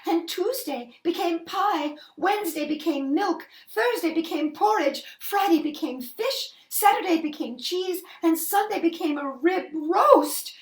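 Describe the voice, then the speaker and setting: A woman's voice: very high voice, animated, a little slow, few pauses, echoey room. A woman reading a children's story expressively, with dramatic, emphatic phrasing.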